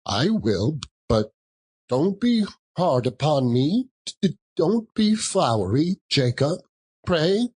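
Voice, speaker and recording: Guy putting on a scared old man miser, stuttery and timid, voice pitched way up, audiobook style. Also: big pitch swings, slow pace, a little mellow, breathy edge, full character voice. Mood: nervous pleading. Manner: stammering, timid. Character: frightened, pleading old miser. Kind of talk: dramatic audiobook reading.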